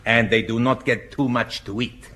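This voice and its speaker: An actor playing a stern, brisk Spanish training captain who barks precise orders in a radio sitcom. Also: male voice, medium pitch, steady tone, sharp tone, lightly acted. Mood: stern, menacing. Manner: barking, precise, commanding. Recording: faintly noisy old radio recording.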